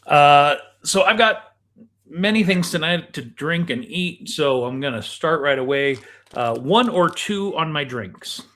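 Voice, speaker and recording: A man's voice: a little high, animated, measured pace, pushed and bright. A man co-hosting a show in casual chat as he tries snacks and drinks.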